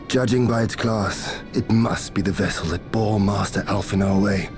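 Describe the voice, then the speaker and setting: A man playing a composed, dryly wry adventurer in a video game, speaking in measured, formal, old-fashioned phrasing. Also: lowish voice, lightly acted. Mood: measured, serious. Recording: game dialogue under clear background music. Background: game music.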